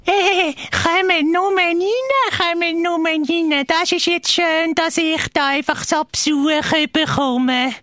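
A woman's voice: very high-pitched, steady tone, slow pace, few pauses, fairly bright tone, full character voice. A woman playing a friendly, lonely woman in a live radio play, with a patient, insistent manner. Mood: welcoming.

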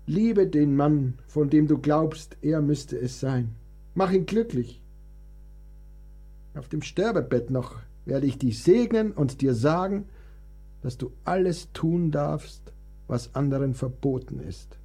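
An actor playing a proud, wounded 19th-century composer in a German radio play, with a theatrical, formal literary delivery. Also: male voice, a little high, lively intonation, slow, mellow, husky, soft background music, heavily acted. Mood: anguished pleading.

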